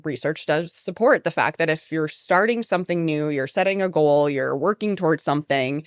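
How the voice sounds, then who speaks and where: A woman's voice: low-pitched, normal speed, no echo, studio quality. A woman researcher talking as a podcast guest, explaining ideas in a chatty way with many fillers. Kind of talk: conversational podcast interview.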